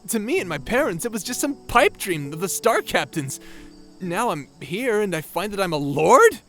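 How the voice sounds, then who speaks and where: Man playing a high-pitched, animated, awkward young space lord. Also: normal speed, soft background music, heavily acted. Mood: wry, wistful. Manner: hesitant, stammering, wry. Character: awkward, sympathetic young male lord revived from century-long stasis. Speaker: voice actor in an audio roleplay. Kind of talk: conversational audio drama acting.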